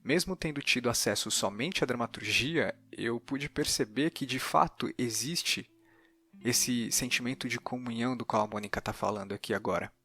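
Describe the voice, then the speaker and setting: A Brazilian man speaks as a podcast host and interviewer, with a middle-pitched voice. His delivery is reflective and exploratory, thinking his way through ideas. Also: moderate pace, sharp tone, faint music behind. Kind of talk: reflective narration and interview questions.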